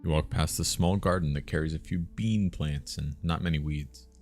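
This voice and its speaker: A man's voice: rumbling low, steady tone, normal speed, a little mellow, faint music behind. A man reading interactive fiction aloud on a live stream, narrating in a storytelling way.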